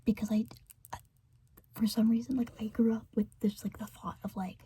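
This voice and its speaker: American woman, whispered ASMR rambler; mellow, soft, meandering chatter. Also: a little high, level tone, brisk, slight background noise. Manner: meandering, filler-heavy, giggly chatter. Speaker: ASMR creator.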